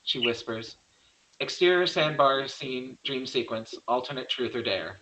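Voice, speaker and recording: A man's voice: mid-range voice, measured pace, sharp tone, rough recording. A man reading the stage directions of a horror screenplay in plain scripted narration.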